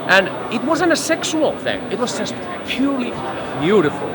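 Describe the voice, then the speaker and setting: A man's voice: extremely high pitch, wildly swooping pitch, normal speed. A middle-aged man from Finland, a photographer telling stories in an interview on a busy trade show floor. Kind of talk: casual interview storytelling. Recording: loud crowd noise behind.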